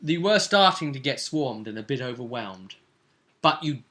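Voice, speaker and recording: Bright-voiced, excitable man co-hosting. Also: mid-range voice, normal speed. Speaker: host and game master. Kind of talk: animated chat recapping a tabletop role-playing game.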